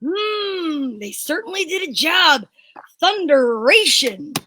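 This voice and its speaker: A woman playing a flustered, excitable male police inspector in an old-time radio style drama, melodramatic and exclamatory. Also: sits high, slow pace, dry recording, full character voice. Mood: exasperated.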